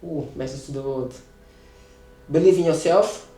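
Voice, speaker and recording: Hesitant man, mellow voice. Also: on the high side, normal speed, echoey room, low-quality recording. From Portugal. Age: 30s. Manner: hesitant, many fillers and restarts. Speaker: course student. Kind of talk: casual interview answers.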